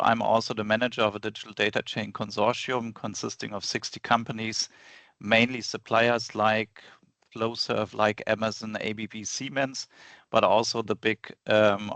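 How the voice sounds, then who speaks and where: A man with a fairly low voice explains his work in a measured, orderly way. The sound is dry and close, heard over a video call. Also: creaky, fairly bright tone, no echo. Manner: measured, explanatory, with list-like phrasing. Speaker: product manager presenting. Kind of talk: business meeting introduction.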